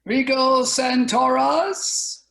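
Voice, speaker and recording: A woman playing an ancient, formal council clerk calling a roll with drawn-out ceremony in a dramatic reading over a video call. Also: high-pitched, steady tone, creaky, fairly bright tone, echoey room, heavily acted.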